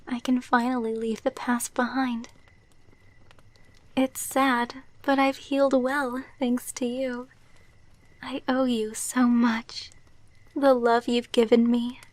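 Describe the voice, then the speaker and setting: A woman playing a gentle, wistful vampire girlfriend in an ASMR roleplay, speaking softly and tenderly. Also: on the high side, no room sound. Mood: loving, tender. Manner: soft, slow, tender, wistful delivery.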